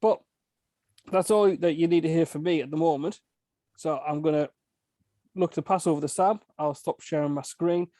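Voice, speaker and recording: A man's voice: on the high side, normal speed, pauses often, warm tone, no room sound. A man hosting an online meetup, handing over in a loose, informal way on a video call. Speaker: meetup host. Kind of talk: casual hosting announcements.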